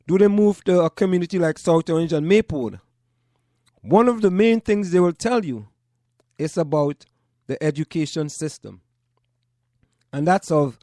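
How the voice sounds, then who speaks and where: A radio host from Trinidad and Tobago with a somewhat high-pitched male voice. He delivers his monologue in measured, short phrases broken by pauses. The sound is close and dry, with no room echo. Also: a little mellow. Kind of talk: call-in radio talk monologue.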